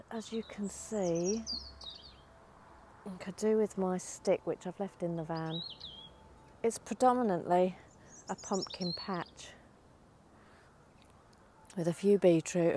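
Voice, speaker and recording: A middle-aged woman chatting casually while she works her vegetable plot outdoors, her voice a little croaky. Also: lowish voice, warm tone, slight background noise. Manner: chatty, down-to-earth, talks while working. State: slightly croaky. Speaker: allotment gardening vlogger. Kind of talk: casual chat to camera. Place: outdoors in allotment and back garden. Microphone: phone camera held while walking.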